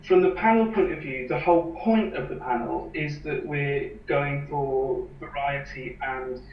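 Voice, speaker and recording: A man calmly explaining how he runs a campaign for independent bands, with an earnest manner. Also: on the high side, measured pace, noisy background. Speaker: campaign organiser. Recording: echoey, distant, dull lo-fi sound.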